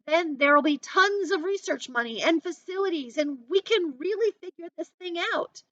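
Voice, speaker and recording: A woman's voice: very high voice, expressive, normal speed, fairly bright tone, dry recording. A woman reading aloud as a frustrated, defiant fifteen-year-old boy in a story, emphatic and urgent, on a dull recording. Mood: urgent, indignant. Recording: dull, lo-fi sound.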